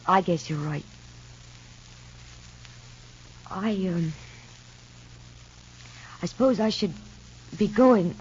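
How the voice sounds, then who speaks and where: An actress playing an anxious, fearful mother in a halting, earnest 1950s radio soap style. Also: lowish voice, expressive, darkish tone, slight background noise. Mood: subdued, uneasy. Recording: dull vintage radio drama recording.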